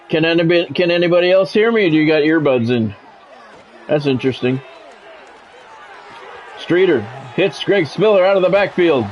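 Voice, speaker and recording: Male game streamer, fairly high voice, announcer-like clipped play calls mixed with easy viewer chat, music underneath. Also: dull audio, slight background noise. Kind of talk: sports commentary mixed with casual livestream chat.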